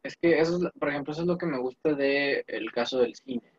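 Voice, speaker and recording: A man's voice: on the high side, a little fast, no echo. Young Mexican man, casual slangy talker, rambling storyteller; dry, slightly dull lo-fi audio. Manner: slangy, digressive, animated storytelling. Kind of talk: casual chat.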